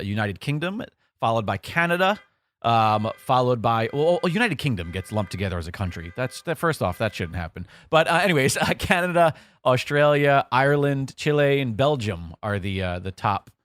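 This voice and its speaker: A man's voice: mid-range voice, animated, a bit bright, dry recording. Easygoing male co-host who stumbles through his thoughts, recorded dry and close. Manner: halting, many fillers and restarts, easygoing. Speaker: producer and guest co-host. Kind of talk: casual podcast chat, reading listener emails aloud.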